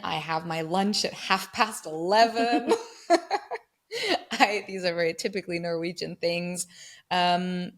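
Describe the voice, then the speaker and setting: A woman from England and Germany, a guest researcher, explaining ideas step by step in a thoughtful conversational way. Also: lowish voice. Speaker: guest researcher on migrant mental health.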